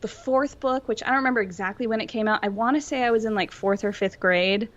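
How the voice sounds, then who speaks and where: A woman's voice: fairly high pitch, quick pace, highs cut off. A young adult woman rambling casually through her opinions as a podcast co-host in a fandom discussion.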